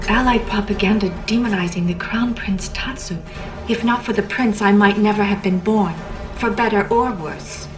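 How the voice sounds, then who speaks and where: An actress plays a young psychic woman who has escaped captivity, cold and defiant in a video game. She broods with contained anger at an average pitch for a woman. Music plays clearly behind her. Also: moderate pace, far from the mic, natural voice. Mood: cool, resentful. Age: young adult. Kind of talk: scripted video game voice acting. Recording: game audio under prominent music.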